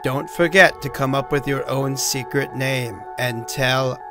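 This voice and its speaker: A man reading scripted end credits as a narrator, with a measured, deliberate announcer delivery. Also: medium pitch, music in the background.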